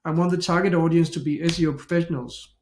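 Middle-aged Danish-accented man, fairly high voice, chatty tutorial host who restarts his sentences; echoey home office. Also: steady tone, fast, darkish tone, slight background noise. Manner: chatty, self-interrupting, thinks aloud with restarts. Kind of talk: casual screen-share tutorial. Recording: echoey room.

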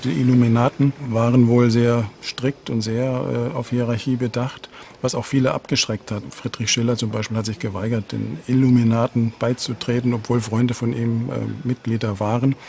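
Man explaining in an interview, gravelly flat voice, lots of uh's. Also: mid-range voice, moderate pace, slight background noise. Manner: thoughtful, hesitant with fillers. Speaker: interviewed expert. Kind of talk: interview answer.

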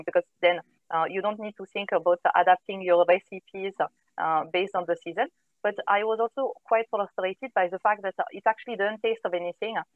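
A woman's voice: fairly low pitch, no echo. A woman in her thirties from northern France, with a French accent, speaking as an interview guest with many hesitant fillers. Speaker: guest, company co-founder. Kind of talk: video call interview. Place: home room, indoors. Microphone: wired earphone headset on video call. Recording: dry, tinny call audio.

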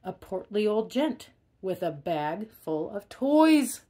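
A woman reading a children's picture book aloud in an expressive way, stressing key words. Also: medium pitch, takes it slowly. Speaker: storybook reader.

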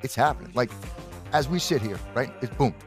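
A man's voice: medium pitch, a little fast, lightly acted. A man voicing an eager, slick American sports agent with an emphatic salesman's manner, music behind him. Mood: hyped. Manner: salesman-like, emphatic, repeats himself.

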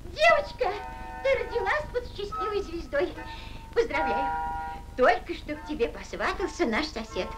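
Old cartoon mouse granny, high voice, super animated, bossy matchmaker type, music behind. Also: female voice, normal speed, poor audio. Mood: excited, bossy. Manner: coaxing, theatrical, pushy. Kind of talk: Soviet animated fairy-tale acting. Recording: vintage film soundtrack with music.

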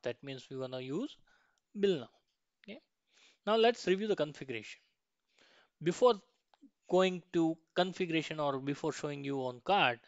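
Higher-pitched man teaching, clear voice. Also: no room sound, dull audio. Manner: instructional, frequent checking tags like "right?" and "okay". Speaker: software tutorial instructor. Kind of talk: explanatory slide and screen-share tutorial.

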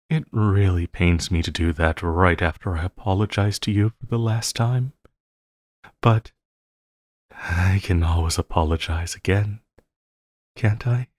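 A man playing a possessive supervillain lover in an intimate audio roleplay, coaxing and pleading with a halting delivery and quiet menace. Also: deep voice, no echo, studio quality, full character voice. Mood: coldly sweet.

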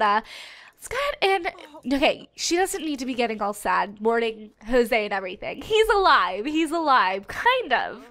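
A woman's voice: fairly high pitch, a bit bright. A young woman with a stuffed-up nose chatting bubbly and giggly through her gameplay as a let's play host. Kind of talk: casual gameplay commentary.

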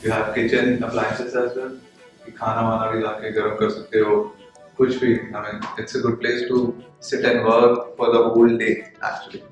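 Flat-voiced man speaking from across the room. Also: medium pitch, distant mic. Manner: unhurried, mixes English and Hindi. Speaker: tour companion. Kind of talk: casual commentary. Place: new apartment building, indoors and rooftop. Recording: echoey rooms, off-mic, music underneath.

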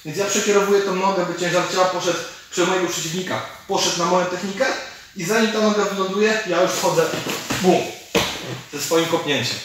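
A man's voice: high voice, fairly even intonation, brisk, fairly bright tone. Echoey man coaching martial arts. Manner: explains while demonstrating moves. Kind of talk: instructional technique demonstration. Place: large indoor gym with fighting cage. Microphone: camera mic from several metres away. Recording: distant mic, very echoey hall, noisy.

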